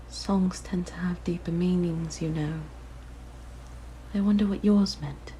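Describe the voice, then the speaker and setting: Woman playing a slow, whispering possessive siren. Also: on the low side, a little hiss or hum, low-quality recording. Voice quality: soft, breathy whisper. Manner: gentle, wistful, fascinated, coaxing. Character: possessive, curious young female siren monster, softly luring. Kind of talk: ASMR roleplay, intimate monologue.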